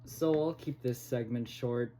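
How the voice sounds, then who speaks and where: A man talking to his phone camera in a casual vlog, halting and hesitant, with many pauses. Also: mid-range voice, warm tone, a little hiss or hum. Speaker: vlogger. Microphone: phone recording.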